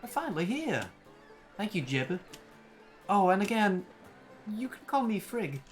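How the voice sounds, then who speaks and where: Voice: medium pitch, big pitch swings, unhurried, faint music behind, voice pushed up. A streamer with a Danish accent voicing a polite, eager young woman who is new to an island, in an everyday voice.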